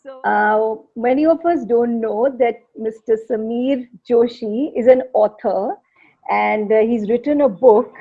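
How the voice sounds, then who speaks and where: Woman host, unhurried, husky voice, short prompts, slightly dull sound. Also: a little high. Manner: brief prompts and hums, asking rapid-fire questions. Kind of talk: casual video interview.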